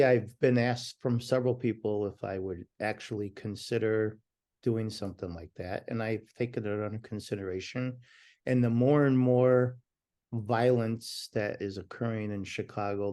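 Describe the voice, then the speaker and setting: A man with a loud voice, talking passionately as a guest on a remote call. His voice is low and warm, never bright. He stresses his points and sometimes starts his sentences over. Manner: passionate, emphatic, some restarts. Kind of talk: conversational interview.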